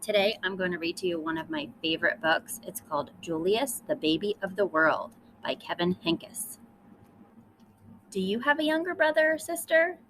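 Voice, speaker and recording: A woman reads a children's story aloud as a schoolteacher would. She speaks to young listeners with emphatic storytelling and a lively, varied pitch. Faint noise is audible behind her. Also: normal speed, poor audio. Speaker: third grade teacher reading aloud.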